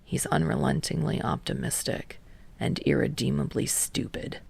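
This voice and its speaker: A woman voicing a sardonic, grudging young man in a fan fiction audiobook, muttering in a terse, halting deadpan. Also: full character voice. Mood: halting, reluctant. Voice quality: low, muttering, breathy.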